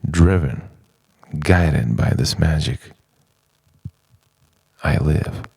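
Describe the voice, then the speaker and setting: Very deep, creaky-voiced man musing poetically. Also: darkish tone, no room sound. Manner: deliberate, halting phrases with dramatic pauses, dropping at times toward a whisper. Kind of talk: introspective spoken-word monologue, poetic letter to himself.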